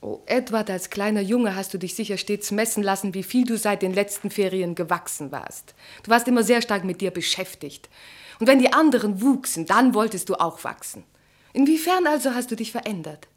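An actress playing a bitter, mocking estranged wife in a German literary radio play, with an articulate, cutting, theatrical delivery. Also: female voice, medium pitch, expressive, fairly quick, lightly acted. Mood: cool mockery.

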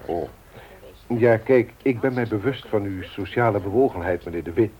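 An actor playing a haughty, formal company director in a radio play, condescending and used to authority. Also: male voice, fairly low pitch, moderate pace, close to an everyday voice. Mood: patronising. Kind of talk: Dutch radio play acting. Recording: muffled vintage radio drama recording.

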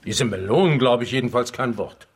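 An actor playing a sceptical, dismissive doctor in a German radio drama, dry in manner. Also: male voice, medium pitch, fairly quick, breathy edge, natural voice.

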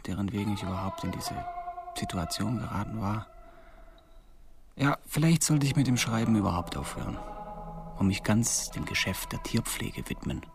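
An actor playing a self-doubting, hapless novelist who narrates in the first person in a rueful, deadpan way for a radio drama. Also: male voice, lowish voice, gravelly.

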